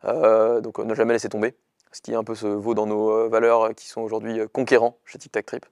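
A young man speaks quickly in short, hesitant bursts, as a founder interviewed on camera. His voice stays fairly monotone with a gravelly fry. It is recorded close and dry on a lapel mic in a studio. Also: fairly low pitch, dry recording. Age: young adult. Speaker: startup co-founder and chief executive. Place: indoor studio with dark backdrop.

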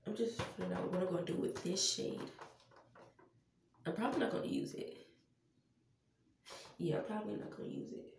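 A woman's voice: medium pitch, fairly quick, gravelly, long pauses, a little hiss or hum. A young woman vlogging casually to her viewers with a stuffy, congested nose, chatting as she gets ready. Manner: chatty, addresses viewers as y'all. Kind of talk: casual Halloween makeup vlog. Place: small tiled bathroom. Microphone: camera on a stand a few feet away. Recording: roomy bathroom echo, distant camera mic.

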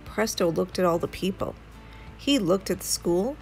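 A woman reading a children's story aloud as an expressive storyteller. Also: on the low side, moderate pace, soft background music, rough recording.

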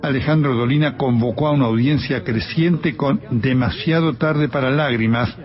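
A man narrating a scripted radio history in a measured, formal manner, with an Argentine Spanish accent. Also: medium pitch, muffled recording, faint music behind. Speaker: documentary narrator.

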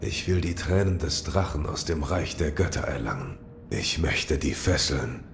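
An actor playing a grim, taciturn shinobi warrior in an audio drama, speaking tersely and solemnly with a gravelly voice. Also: male voice, rumbling low, big pitch swings, moderate pace, background noise, full character voice. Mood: grim, resolute. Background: loud music.

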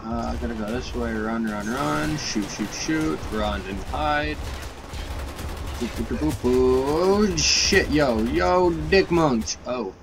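A young man talks through a game with a plugged nose and a slightly raspy voice from a cold. He rambles, swears casually and fills gaps with "like". Gameplay noise runs clearly behind him. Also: medium pitch, slow pace. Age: young adult. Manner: rambling, swears often, many fillers. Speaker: gaming youtuber.

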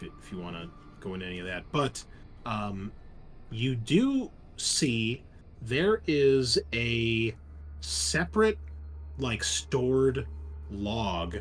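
Low-voiced man running a tabletop game. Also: fairly bright tone. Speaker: game master. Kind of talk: casual tabletop game narration. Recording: noticeable background noise.

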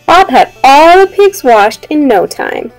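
A young woman reading a children's storybook aloud in an expressive way and addressing her young listeners. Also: high voice, slow, no echo, soft background music, poor audio. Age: young adult. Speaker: teacher reading a picture book aloud.

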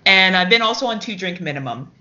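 Podcast guest, female, bright and lowish voice, casual chat, slightly noisy lo-fi recording. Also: animated, quick pace. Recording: lo-fi, noisy audio with clicks.

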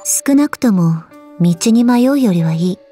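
A woman voicing a cool, aloof, quietly caring gorgon swordswoman in a game, languid, deadpan and curt, with a soft, husky voice. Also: medium pitch, measured pace, soft background music, close to an everyday voice. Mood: dry, resigned. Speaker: voice actress. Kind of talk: scripted Japanese mobile game character lines.

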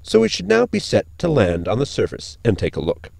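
A man's voice: mid-range voice, wildly swooping pitch, a little fast, gravelly, a little mellow, no echo, full character voice. A man playing a precise, unflappable robotic crew member in a sci-fi comedy audio drama, speaking formally and exactly. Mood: matter-of-fact. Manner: formal, clipped, exact wording.

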